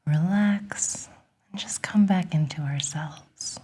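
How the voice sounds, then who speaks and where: A woman whispering a guided relaxation in a gentle, soothing, breathy ASMR style, encouraging the listener. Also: lowish voice, takes it slowly.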